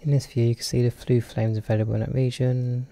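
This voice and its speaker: A man commentates a let's play with a cold. He jokes and chats as he reads game text aloud. His voice is dark and gravelly with a lot of fry. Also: mid-range voice, flat delivery, fast, dry recording. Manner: jokey, chatty, reads on-screen text aloud. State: has a cold. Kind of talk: casual gameplay commentary.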